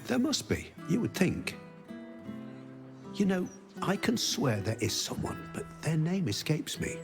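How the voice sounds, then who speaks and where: A dreamy, creaky-voiced befuddled gentleman. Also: a little high, wildly swooping pitch, a little slow, full character voice. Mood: absent, cheerful. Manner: wistful, rambling, absent-minded. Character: confused, cheerful well-to-do man with erased memories. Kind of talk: video game character dialogue. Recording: music behind the voice.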